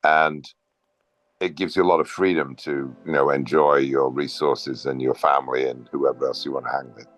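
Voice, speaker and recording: A man's voice: rumbling low, flat delivery, normal speed, fairly bright tone, soft background music. A man in his sixties introducing himself on a video call, in a rambling, earnest way, with spiritual phrasing. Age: 60s. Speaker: meetup participant, systems designer.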